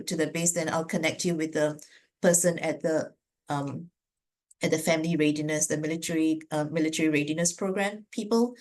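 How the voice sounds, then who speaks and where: Low-voiced Malaysian woman advising owners. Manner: earnest, many fillers and restarts. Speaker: business advisor. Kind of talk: answering questions in a webinar.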